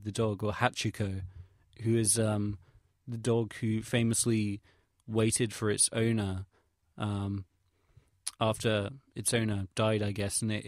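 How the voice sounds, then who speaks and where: A man's voice: lowish voice, no echo, clean studio sound. A young man with an English accent, recounting things in a casual, rambling audio log, hesitant, informal and self-deprecating, with slang and swearing. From England. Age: young adult. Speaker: vlogger.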